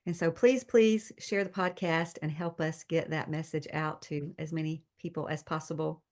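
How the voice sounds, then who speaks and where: A woman's voice: deep voice, fairly even intonation, moderate pace, a little mellow. A woman pastor hosting a faith podcast, chatting in an encouraging, upbeat way. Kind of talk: casual faith podcast chat.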